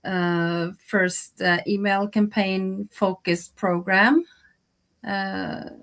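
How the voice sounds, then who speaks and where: A woman's voice: medium pitch, steady tone, a bit bright. A Norwegian woman, a freelance translator, speaking as a guest on a video call, hesitant with many fillers. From Norway. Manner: slow, hesitant, many "uh"s, laughs easily. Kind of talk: interview answers.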